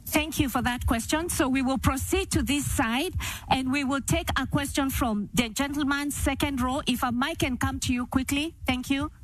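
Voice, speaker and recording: A woman's voice: high-pitched, moderate pace. A woman moderating a town hall, formally directing questions from the floor.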